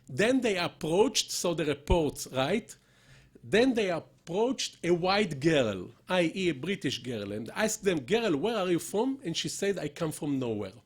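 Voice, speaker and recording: A man with a husky voice gives an academic conference lecture, deliberate and emphatic, with rhetorical questions and asides. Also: fairly high pitch. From Israel. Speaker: professor, conference speaker. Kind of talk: academic conference lecture, partly read from notes.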